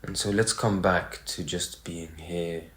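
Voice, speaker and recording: A man leading a guided meditation, speaking in short phrases with pauses between them. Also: deep voice, fairly even intonation, fairly bright tone. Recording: roomy, echoey sound.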